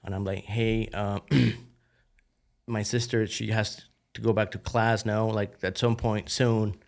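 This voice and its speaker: A man in his thirties with a Colombian accent and a fairly low voice talks as a podcast guest. His telling is loose and animated, full of "dude" and "you know". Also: slow, gravelly. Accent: Colombian Spanish. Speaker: podcast guest, biotech founder. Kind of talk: casual conversational interview.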